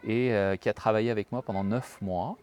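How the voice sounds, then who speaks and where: Low-voiced French man, hesitant talk. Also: steady tone, a little fast, darkish tone. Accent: slight French accent in English. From France. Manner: thoughtful, many hesitations and restarts. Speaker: guest, HR professional. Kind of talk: casual interview conversation.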